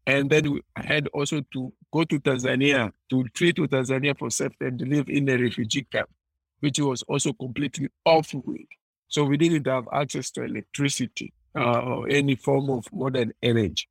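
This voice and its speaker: A man's voice: mid-range voice, fairly even intonation, normal speed, vocal fry, no room sound, low-quality recording. Congolese-accented man explaining in an interview, dry sound. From Eastern DR Congo. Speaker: interview guest, clean energy entrepreneur. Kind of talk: conversational storytelling in a podcast interview.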